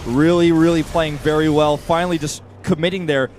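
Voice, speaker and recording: A young man casting a strategy game live, excitable and rising sharply when the fighting gets big. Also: a little high, fairly quick, slight background noise. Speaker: esports caster. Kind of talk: play-by-play commentary. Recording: commentary over loud game music.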